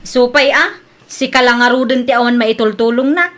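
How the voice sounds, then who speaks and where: A woman's voice: on the high side, normal speed, close to an everyday voice. A woman playing an anxious young village daughter who pleads with worry in her voice. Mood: worried. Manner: worried, pleading. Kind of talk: audio drama acting. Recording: rain and ambience noise behind. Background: steady rain.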